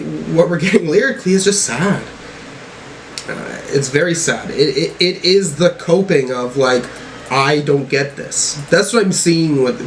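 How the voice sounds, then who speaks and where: A man giving casual, off-the-cuff music commentary as a reviewer, in a halting, informal way with some swearing. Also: mid-range voice, fairly bright tone, slight background noise, rough recording.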